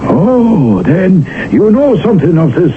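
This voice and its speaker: A stiff secretive lawyer, high-ish lively voice, tinny old radio. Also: male voice, slow, a little hiss or hum, heavily acted. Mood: guarded. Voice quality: crisp, formal and evasive. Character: guarded, precise male lawyer. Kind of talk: 1970s radio drama acting. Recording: narrow lo-fi old radio broadcast.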